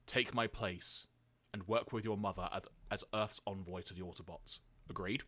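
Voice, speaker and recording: A man voicing a stern, stoic cartoon father, gravely injured and speaking in a measured, solemn way. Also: low voice, fairly even intonation, pushed and bright, pitched below the performer's own voice.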